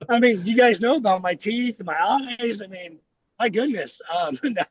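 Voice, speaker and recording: A man's voice: high voice, moderate pace, no room sound, lo-fi sound. A man on a panel call, joking and self-mocking as the group's comic relief. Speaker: panel guest. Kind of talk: casual panel chat.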